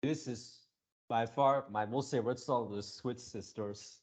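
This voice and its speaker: A man's voice: mid-range voice, measured pace, a little mellow. A man giving casual reaction commentary as a video creator, rambling like an enthusiastic fan.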